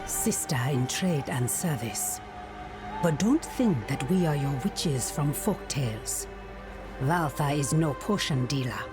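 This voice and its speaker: A woman playing a proud, guarded witch in a fantasy game, speaking in a measured, cryptic and solemn way. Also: sits low, animated. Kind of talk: dramatic fantasy game dialogue. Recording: dramatic music behind dialogue.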